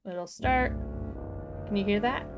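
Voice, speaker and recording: A woman's voice: medium pitch, takes it slowly, over music. A woman co-hosting a livestream tutorial, talking in an enthusiastic and informal way as she explains things. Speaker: livestream co-host. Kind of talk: casual software tutorial chat.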